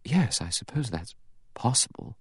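A man voicing a sharp, suspicious young woman in an audiobook, speaking briskly and emphatically. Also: medium pitch, very animated, no room sound, clean studio sound, pitched above the performer's own voice. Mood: questioning, thoughtful. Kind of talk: audiobook character dialogue.

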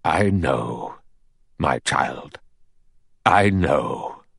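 A male reader performs an old general in an audiobook, very low and husky. He is vague and lonely, haunted by guilt and resigned to death, musing in halting phrases. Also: fairly bright tone, no room sound, clean studio sound, full character voice. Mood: gentle resignation. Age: older. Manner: halting, distracted, musing aloud.

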